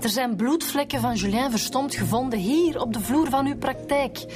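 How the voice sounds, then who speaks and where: A woman's voice: high voice, moderate pace, music in the background, lightly acted. A woman with a Flemish accent playing an assertive, dryly cheeky police detective in a naturalistic radio drama. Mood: insistent.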